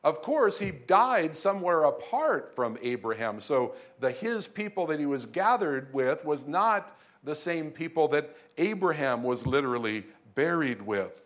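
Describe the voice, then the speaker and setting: Guy preaching a sermon, slow and deliberate, kinda higher voice, leans hard on the big points. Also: very animated, fairly bright tone. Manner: slow, measured preaching with emphatic lifts. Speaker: pastor. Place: church room in Beijing.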